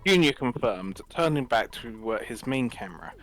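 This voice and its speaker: A man's voice: medium pitch, lively intonation, moderate pace, fairly bright tone, soft background music, rough recording. A man reading scripted story narration aloud on a livestream, as the narrator of a group reading.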